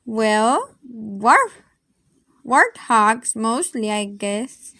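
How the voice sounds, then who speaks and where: A woman narrates a children's storybook with expressive, dramatic delivery. Her voice is high and she takes her time over the words. The sound is dry, with hardly any room in it. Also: extremely slow, no echo. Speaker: storyteller reading aloud.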